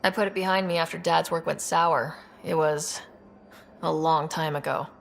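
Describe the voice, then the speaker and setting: A low, creaky-voiced young explorer. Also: female voice, fairly even intonation, moderate pace. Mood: guarded. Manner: plain, earnest, understated. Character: young, new, resolute human explorer leader, female. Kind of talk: video game voice acting.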